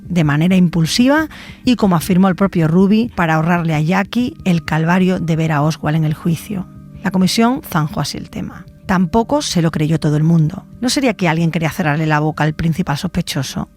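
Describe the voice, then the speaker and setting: A woman's voice: fairly low pitch, a little mellow. A woman journalist reads scripted narration for a documentary podcast.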